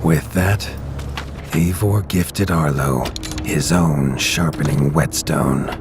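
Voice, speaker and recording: A man with a very low voice tells the tale like a storybook, grave and measured. Game ambience and noise run clearly behind him. Each line lands slowly and with weight. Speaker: narrator.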